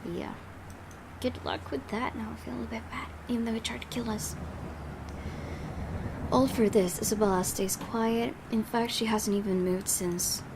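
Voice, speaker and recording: A woman's voice: a little high, steady tone, normal speed, background noise. A woman streamer reading a horror visual novel aloud, with joking asides between passages. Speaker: game streamer. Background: game music.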